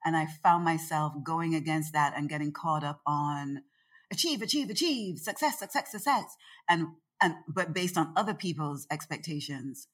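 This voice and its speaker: A woman with a very low voice talks as a guest in a relaxed interview. She is expressive and chatty. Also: normal speed. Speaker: guest, organization founder. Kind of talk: casual conversational interview. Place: in Nevis.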